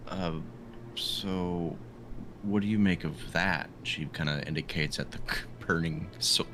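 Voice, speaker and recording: A man's voice: sits low, slow pace, over music. A man playing a tabletop game, talking casually in a tentative, questioning way. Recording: noisy room with steady background ambience.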